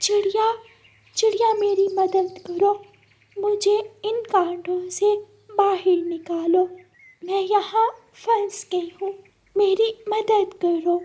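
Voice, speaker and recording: A woman's voice: extremely high pitch, steady tone, slow, dark tone, voice pushed up. A woman voicing a small, delicate female cartoon butterfly, sweet and plaintive. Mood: pleading. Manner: pleading, plaintive cries for help.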